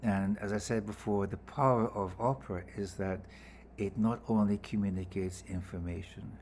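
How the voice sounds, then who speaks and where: A man's voice: on the low side, fairly even intonation, moderate pace, a little mellow, slight background noise. A man in his fifties with a Scottish accent, a composer speaking earnestly at a gala and repeating words as he thinks.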